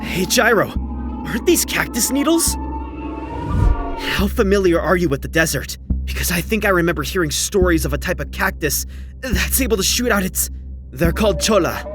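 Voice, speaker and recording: A man playing an anxious, questioning young horse racer in a dramatic anime fandub, excitable and incredulous in manner. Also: on the high side, natural voice. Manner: excitable, incredulous, swinging from muttering to shouting.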